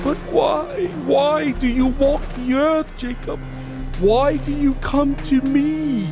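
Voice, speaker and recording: A man acting in a radio play as a miserly old businessman, gruff and easily frightened, with a stammering, blustering, theatrical manner. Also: extremely high pitch, slow, over music, exaggerated voice. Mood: awed fear.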